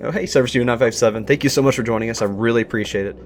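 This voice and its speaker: A man's voice: medium pitch, rapid-fire, background noise. A man hosting a casual interview, speaking politely and a little hesitantly. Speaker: talk show host, interviewer. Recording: music under the voice.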